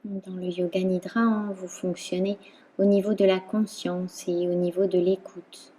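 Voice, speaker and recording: Calm lady doing guided meditation, really slow w long pauses, super warm dark voice. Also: fairly low pitch. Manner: calm, soothing, with long pauses between phrases. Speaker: yoga nidra instructor.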